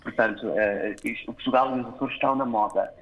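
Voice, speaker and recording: A man from Canada, born in Toronto, answering interview questions hesitantly, with many filled pauses and restarts. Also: mid-range voice, fairly bright tone, poor audio. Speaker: guest.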